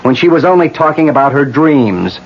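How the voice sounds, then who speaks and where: A man's voice: fairly high pitch, expressive, a little fast. An actor playing an insistent, confrontational man in a 1970s radio drama, pressing and accusatory. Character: insistent male rival demanding answers, confrontational. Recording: dull, noisy old radio broadcast recording.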